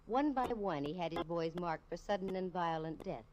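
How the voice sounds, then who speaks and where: A woman's voice: fairly low pitch, expressive, normal speed, close to an everyday voice. A woman playing a wisecracking lady private eye. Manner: breezy, slangy storytelling. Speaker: private detective narrator. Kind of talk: 1950s radio drama narration. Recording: noisy old radio recording.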